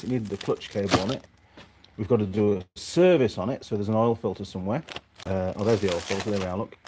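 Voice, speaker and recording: A man chatting casually as a vlog host and mechanic, muttering and meandering as he goes. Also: on the low side. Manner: muttering to himself, hesitant, meandering. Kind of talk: casual rambling workshop vlog. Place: workshop. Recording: noisy, clicks and rustling of parts.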